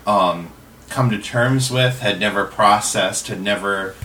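A man's voice: fairly low pitch, measured pace, pushed and bright. A man talking in a thoughtful, analytical way in a casual group discussion. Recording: distant roomy pickup, clear background noise.

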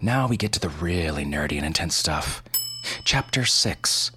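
A man's voice: low voice, expressive, normal speed, background noise. A man hosting a podcast, narrating scripted instructional material in a casual, irreverent way. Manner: casual, irreverent, mild swearing. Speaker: podcast host.

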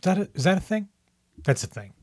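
A man's voice: sits high, very animated, fast, a little mellow, no room sound, studio quality. A man co-hosting a podcast in casual banter, teasing and jokey. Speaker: podcast co-host.

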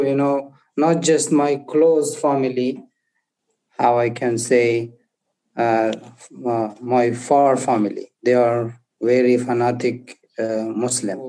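Slow, halting man from Afghanistan. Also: medium pitch, warm tone, low-quality recording. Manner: halting, searching for words in English. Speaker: interview guest. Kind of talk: personal testimony.

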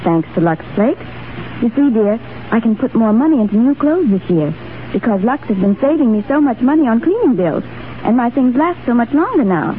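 Chirpy high-voiced young sister. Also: female voice, big pitch swings, brisk. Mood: cheerful, gushing. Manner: bright gushing salesy chatter. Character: chirpy, thrifty young sister gushing about her shopping. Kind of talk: 1930s radio commercial acting. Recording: tinny, hissy 1930s radio recording.

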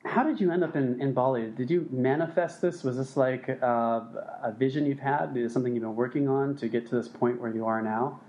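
Host: man, mid pitch, slightly muffled audio, friendly and curious interviewer. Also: a little fast, few pauses, a little mellow. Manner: friendly, asks questions, laughs easily. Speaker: podcast host. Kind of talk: casual interview hosting.

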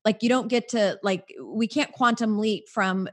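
A woman in her forties, a podcast guest, talking earnestly in casual faith-based chat, often in quick bursts. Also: mid-range voice. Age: 40s.

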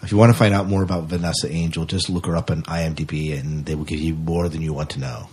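A man's voice: extremely low pitch, fairly even intonation, fast talker, a little mellow, slightly rough. A man hosting a podcast in a casual, conversational style. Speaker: podcast host.

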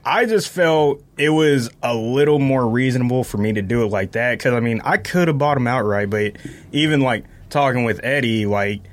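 A man's voice: medium pitch, moderate pace. A man in a casual podcast chat, explaining things informally step by step. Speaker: podcast guest talking about sneakers.

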